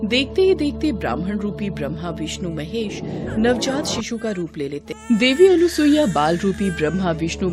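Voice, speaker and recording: A woman narrating a scripted devotional story as its storyteller. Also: lowish voice.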